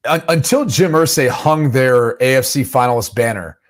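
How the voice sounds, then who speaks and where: A man's voice: medium pitch, moderate pace. A man co-hosting a sports podcast, teasing and laughing in casual banter. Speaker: podcast co-host, former player.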